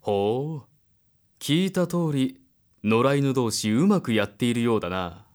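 A man's voice: mid-range voice, lively intonation, a little slow, a little mellow, lightly acted. An actor playing a contemptuous man in authority in an audio drama, with a mocking, commanding manner. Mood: cold, mocking. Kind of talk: Japanese audio drama acting.

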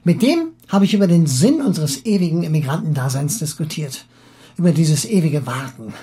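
A man playing a talkative, excitable Russian émigré husband who philosophizes eagerly and halts for words in acted audiobook dialogue. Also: a little high. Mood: musing, talkative. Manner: garrulous, halting when searching for words.

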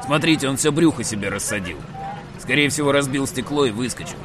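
An actor playing a plain-spoken dog-team driver, blunt and folksy, in an audio drama. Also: male voice, medium pitch, lively intonation, brisk, crowd noise, lightly acted. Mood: concerned. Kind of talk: Russian audio drama acting. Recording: clear outdoor and vehicle noise behind.

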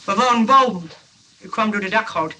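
An actress playing a fussy, easily hurt nurse who stammers indignantly in an old radio play. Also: female voice, mid-range voice, normal speed, pushed and bright, recorded from a distance, a little hiss or hum, close to an everyday voice. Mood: stern.

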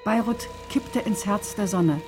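An actress reciting as a solemn poetic voice in a radio play, measured and stark, with a slightly husky tone. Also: female voice, medium pitch, music in the background, close to an everyday voice. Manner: measured, stark questions and pronouncements. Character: solemn female poetic voice of litany.

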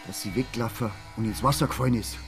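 A man's voice: medium pitch, fast, music in the background, natural voice. An actor playing a bitter, compromised small-town policeman in a radio drama, blunt and accusing, with a Bavarian accent. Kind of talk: naturalistic radio drama acting.

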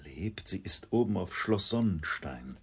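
Deep-voiced, guarded institute scientist. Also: male voice, lively intonation, measured pace, a little hiss or hum. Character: guarded, formal male research institute colleague. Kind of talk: radio drama acting.

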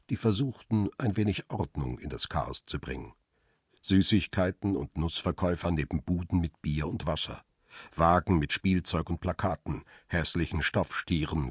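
A man narrating a crime radio play in the first person, calm and reflective, and speaking lines as the paparazzo protagonist. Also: deep voice, slightly rough, dry recording, studio quality.

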